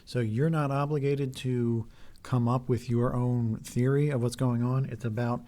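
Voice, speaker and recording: A man in his thirties talks straight to his viewers in an earnest, halting way, often restarting his sentences and leaning on fillers. His tone is mellow and dark, and his voice sounds a little hoarse as he keeps going. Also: mid-range voice, steady tone. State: voice getting hoarse. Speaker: video host. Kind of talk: earnest talk to camera.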